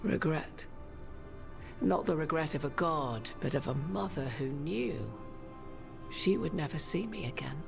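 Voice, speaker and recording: A woman playing a solemn human sorceress in a video game, speaking in measured, archaic, formal phrases. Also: low-pitched, animated, creaky, exaggerated voice. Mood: solemn, reflective. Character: solemn human sorceress bearing an ancient goddess's memories. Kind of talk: dramatic video game dialogue. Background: prominent music.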